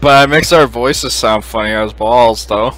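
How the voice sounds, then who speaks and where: A man's voice: mid-range voice, a little slow, fairly bright tone. A young man chatting casually as a podcast co-host. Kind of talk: casual chat.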